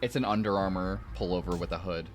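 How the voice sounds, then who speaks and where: A man's voice: deep voice, quick pace, soft background music. A man streaming, reading a story aloud and breaking off for casual asides. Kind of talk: scripted narration with casual asides.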